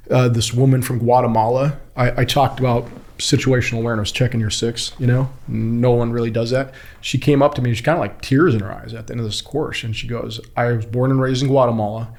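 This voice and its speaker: A man's voice: medium pitch, fairly quick. A man telling anecdotes casually as an interview guest, in informal, slangy phrasing and acting out what people said. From Kuwait. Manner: animated retelling with acted-out quotes, informal slangy phrasing. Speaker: podcast guest, body-language instructor and former US Marine.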